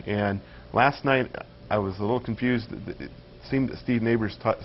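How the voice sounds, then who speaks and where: A man's voice: on the low side, highs cut off, a little hiss or hum. A man hosting a local TV interview, asking questions in a loose way full of fillers and restarts. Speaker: TV show host.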